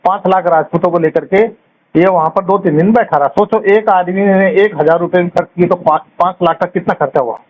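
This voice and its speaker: A man's voice: sits high, a little fast. A man talking informally on the phone, giving a long accusatory account in a blunt, scornful, colloquial way with rhetorical questions. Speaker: caller giving his account. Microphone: telephone. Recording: muffled phone call recording, clear noise.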